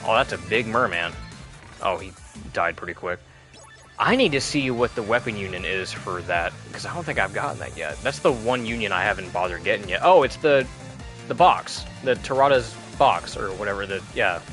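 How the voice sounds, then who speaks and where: A man's voice: lowish voice, animated, moderate pace, fairly bright tone, faint music behind. A man giving casual, chatty commentary while playing a video game, rambling and often restarting his sentences. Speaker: gameplay commentator. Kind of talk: casual let's play commentary.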